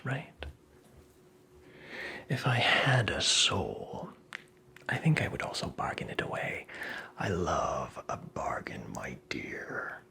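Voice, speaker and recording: A performer playing a creepy, teasing, very old male magical imp in a whispered ASMR roleplay, with breathy, creaky, theatrical delivery. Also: low-pitched, bright, forceful tone, full character voice. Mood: sly, amused. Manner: slow, theatrical, taunting, toying with the listener.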